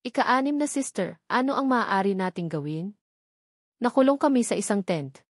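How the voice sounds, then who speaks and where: Woman reading an anxious, higher-voiced younger sister. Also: animated, normal speed, no room sound, clean studio sound, natural voice. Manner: anxious, pleading.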